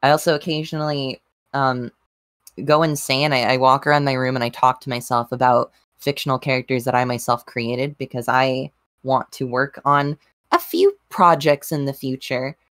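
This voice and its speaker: A woman chatting casually on a group podcast in a halting, deadpan, playfully cryptic way. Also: rumbling low, no echo, studio quality. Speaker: podcast panelist.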